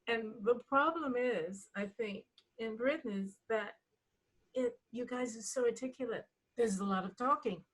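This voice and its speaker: A woman with a slightly husky, breath-worn voice talks as a writer in an interview. She thinks aloud, pausing and circling back to rephrase. Laughter comes readily into her speech. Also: a little high, low-quality recording. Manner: laughs readily while talking. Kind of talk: reflective interview conversation.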